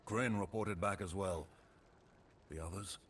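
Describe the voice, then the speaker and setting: Casting: grim-duty military captain in a game, deep stern voice, clipped and weary. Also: male voice, unhurried, background noise. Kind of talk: dramatic scripted video game dialogue. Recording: faint game ambience behind the voice.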